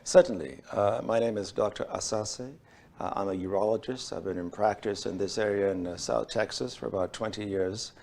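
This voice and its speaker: A middle-aged man from Switzerland, a urologist guest on a TV health show, speaking in a measured, formal way with frequent fillers. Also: fairly low pitch, steady tone, gravelly, darkish tone. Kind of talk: TV health interview. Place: bright TV studio set.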